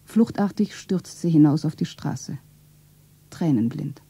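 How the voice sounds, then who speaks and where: Low, husky, elegant woman. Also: expressive, measured pace, warm tone, no room sound, full character voice. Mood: cool, composed. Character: elegant, self-satisfied blonde woman of about thirty-five. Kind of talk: stylised radio drama monologue, self-narrating.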